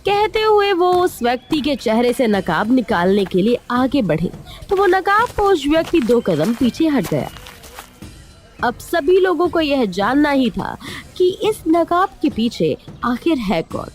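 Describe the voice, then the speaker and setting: A woman narrates a cartoon moral tale from a script. Her voice sits on the high side and moves with lots of melodic swing. There is light music in the background. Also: sing-song, measured pace. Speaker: storyteller, narrator.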